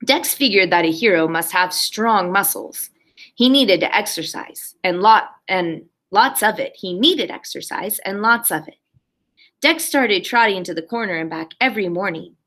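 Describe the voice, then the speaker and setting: A woman's voice: mid-range voice, expressive, moderate pace, a bit bright. A woman kindergarten teacher reading a picture book aloud expressively for young children, with simple explanatory asides.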